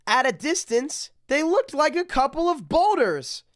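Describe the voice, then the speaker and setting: Slow, very animated storybook reader. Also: high-pitched. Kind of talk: reading picture books aloud with joking asides.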